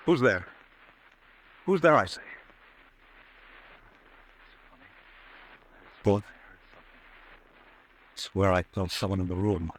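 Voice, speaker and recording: An actor playing a haunted, nervous businessman who fears himself, in agitated, halting, melodramatic 1940s radio style. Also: low voice, very animated, exaggerated voice, poor audio. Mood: startled. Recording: old radio broadcast recording.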